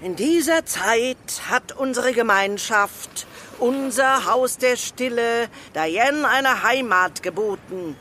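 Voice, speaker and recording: An actress playing the stern, solemn head of a retirement home, giving a declamatory funeral speech in an audio drama. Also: female voice, fairly high pitch, animated, slow pace, fairly bright tone, a little hiss or hum.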